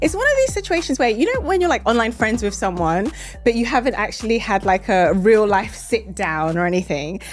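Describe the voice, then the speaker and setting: A woman's voice: mid-range voice, sing-song, a little fast. A woman in her thirties hosting a podcast in a casual, chatty way, effusive and full of compliments. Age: 30s. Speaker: podcast host. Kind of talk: casual chat.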